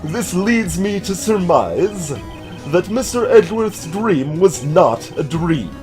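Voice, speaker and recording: A man voicing a genial, pompous older gentleman lawyer in a video game, ponderous and probing. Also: high-pitched, animated, slow, faint music behind. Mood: grave, deliberate. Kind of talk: voiced visual novel game dialogue.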